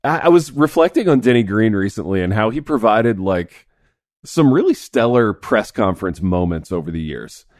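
A man hosting a sports podcast in a studio, his voice raspy this morning, chatting in a laid-back, chummy way. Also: lowish voice, very animated, moderate pace, a little mellow, no echo. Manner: laid-back, chummy, says dude a lot. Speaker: podcast host. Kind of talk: casual sports chat.

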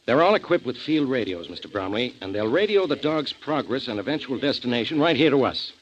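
An actor playing a brisk, commanding, self-satisfied police inspector in a 1940s radio crime drama. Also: male voice, medium pitch, big pitch swings, lightly acted. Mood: brisk, explaining. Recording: muffled, noisy 1940s radio recording.

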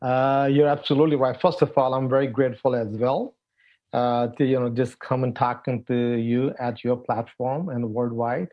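Older Pakistani-born man, interview guest, muffled lo-fi sound, halting delivery. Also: medium pitch, little pitch movement. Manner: halting, many fillers, non-native phrasing. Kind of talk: telling his life story in an interview. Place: at home, in a room. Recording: dull lo-fi sound.

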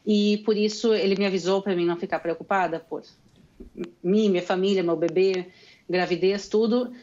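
A woman's voice: mid-range voice, fairly even intonation, normal speed, darkish tone. Young gaúcha woman explaining things on a call, earnest and insistent, kinda muffled line. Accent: gaúcho Brazilian Portuguese. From Rio Grande do Sul, Brazil. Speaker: guest commentator living in Israel. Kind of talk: call-in interview commentary.

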